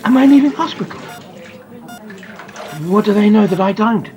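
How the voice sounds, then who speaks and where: A woman voicing an excitable young boy who lies in a coma, confiding his thoughts emphatically in a dramatic audiobook narration. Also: mid-range voice, moderate pace, dull audio, voice pushed up, lightly acted. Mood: wry, curious.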